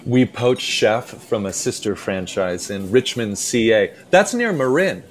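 An actor playing a pretentious, fussy steakhouse waiter in a comic radio play, with a florid, easily flustered way of talking. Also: male voice, lowish voice, animated, unhurried. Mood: fussy, insistent. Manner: pompous, florid, stammering. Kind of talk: radio play comedy acting.

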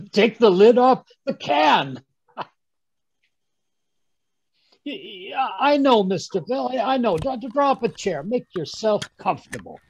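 Guy doing a fussy old man in a radio play, blustery and stammery, creaky voice, dull call audio. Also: high voice, big pitch swings, takes it slowly, pauses often, full character voice. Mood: flustered. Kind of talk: 1930s radio drama acting. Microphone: video call.

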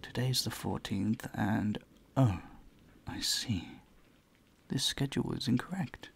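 A man playing a courteous, charming vampire in an intimate audio roleplay, soft-spoken, breathy and soothing, often close to a whisper. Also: mid-range voice, exaggerated voice. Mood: concerned, reassuring. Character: gentle, courteous male vampire, charming and doting. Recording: faint ambient noise behind the voice.

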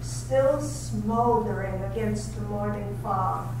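A woman's voice: medium pitch, takes it slowly. A woman playing a timid, polite young lady's companion in a 1930s style radio drama. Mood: wistful. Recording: very echoey hall, distant, noisy.

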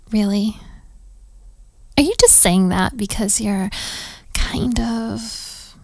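Bratty princess, twenties, female actor, playful and flirty, very slow delivery, close dry sound, intimate audio roleplay. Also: fairly high pitch, gravelly, no echo, heavily acted. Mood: curious. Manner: teasing, giggly, casual modern phrasing, dropping into whispers.

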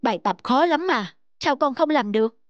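A woman voicing a busy, scolding mother in a cartoon, brisk and chiding. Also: high-pitched, expressive, no echo, highs cut off. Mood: worried, scolding. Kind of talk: cartoon dialogue.

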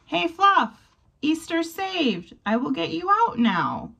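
Woman as gentle, earnest elephant, swooping voice. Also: very high voice, sing-song, slow pace, vocal fry, slight background noise, pitched above the performer's own voice, heavily acted. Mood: triumphant. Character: big gentle, insecure male elephant.

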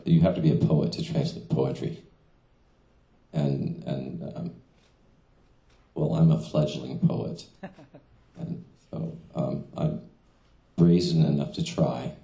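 A man's voice: a bass voice, fairly even intonation, takes it slowly, mellow. A middle-aged man, a translator, talking casually at a reading in a small venue, with many fillers and restarts. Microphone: stand mic in front of seated speaker. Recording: echoey room.

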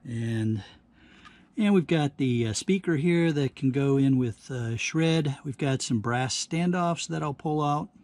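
A man giving casual hands-on demonstration commentary, halting and thinking aloud as he works at a workbench. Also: medium pitch, a little mellow. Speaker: host of a scrapping how-to channel. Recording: clear background noise, handling clicks.

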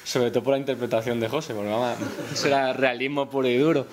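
A man's voice: medium pitch, steady tone, fast talker. A young man with a Spanish accent from Spain, speaking unscripted at a press conference and hesitantly repeating words as he goes. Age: young adult. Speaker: film director. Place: indoor press conference room. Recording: hissy press-room recording.

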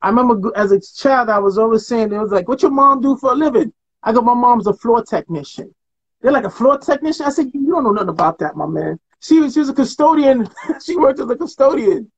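A middle-aged man from Trinidad and Tobago, telling stories in a laid-back, slangy way. His voice is husky and a little dull, coming through a webcam on a video call. He sounds like a relaxed guest chatting from his home. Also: very high-pitched, quick pace. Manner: laid-back storyteller, slangy, laughs often. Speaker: artist guest. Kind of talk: casual interview chat. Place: home room with painted walls.

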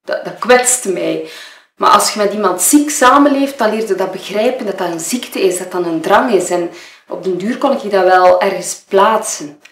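A woman's voice: mid-range voice, normal speed, natural voice. A woman with a Flemish accent playing a nervous, gossipy model who gives a rambling, hesitant witness statement in an audio murder game. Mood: gossipy, hurt. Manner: hesitant, rambling, self-interrupting. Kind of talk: improvised-sounding monologue for an audio murder game. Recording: roomy, echoing room.

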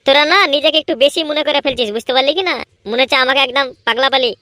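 A woman's voice: high voice, steady tone, racing, sharp tone, no room sound, clean studio sound. A woman voicing a boastful young village lad in a comedy cartoon, with colloquial, argumentative speech. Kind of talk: Bengali comedy cartoon voice acting.

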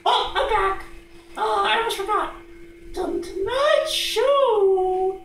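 Very high-pitched, hammy sock puppet host. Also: a bit bright, slight background noise. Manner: halting, hammy, exclaiming. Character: excitable, easily flustered sock puppet talk-show host. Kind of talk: improvised comedic talk-show patter. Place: living room, puppets behind a couch. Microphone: distant camera microphone. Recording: echoey room, far from the mic.

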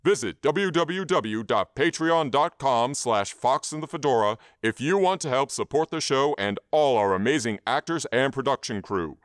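A smooth, clear man announces a scripted promo in a medium-pitched voice. The sound is close and dry. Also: animated, normal speed, few pauses, dry recording.

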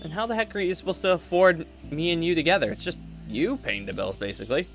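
A man playing an excitable, curious teenage son who is new at an academy, talking casually and eagerly in a Minecraft roleplay. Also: a little high, fast, soft background music. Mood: overwhelmed. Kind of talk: improvised Minecraft anime roleplay.

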